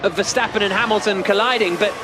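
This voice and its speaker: High-pitched excited male race commentator. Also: fast talker. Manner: builds to shouting excitement at the finish. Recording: loud engine noise behind commentary. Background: race cars.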